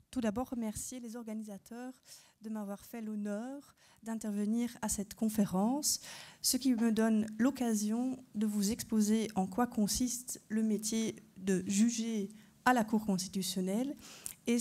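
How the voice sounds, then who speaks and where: A woman's voice: on the high side, warm tone. A woman from Belgium whose first language is German, a constitutional court judge giving a structured conference lecture and putting rhetorical questions to her audience. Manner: structured explanatory delivery, poses rhetorical questions.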